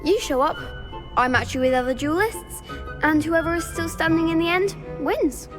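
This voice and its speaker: High-voiced, confident schoolgirl. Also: normal speed, over music. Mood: eager, confident. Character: bright, confident schoolgirl dueling club organiser. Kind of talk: scripted video game acting.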